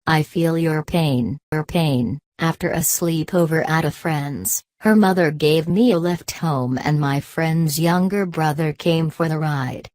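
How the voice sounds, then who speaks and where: A synthetic female narrator with a low-pitched voice reads forum posts one after another. Her delivery is even and mechanical, and she often echoes the final word of each post. The sound is dry and close, with no room around it. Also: unhurried, hardly any pauses. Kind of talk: text-to-speech reading of online posts.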